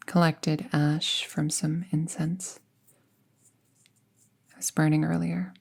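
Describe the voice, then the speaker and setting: A woman playing a friendly apprentice witch in a roleplay audio, speaking softly and breathily, often near a whisper, with an unhurried, casual murmur. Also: deep voice, level tone, gravelly, slightly rough, heavily acted. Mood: focused, calm. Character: friendly young apprentice witch, soft-spoken and casually chatty. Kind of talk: roleplay audio drama, soft ASMR-like delivery.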